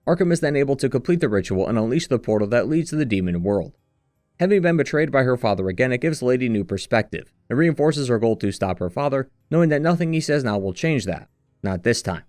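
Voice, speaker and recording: A man reading scripted narration in a measured, earnest way, like a video essayist walking through a story retrospective. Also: mid-range voice, darkish tone, no echo, clean studio sound. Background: background music.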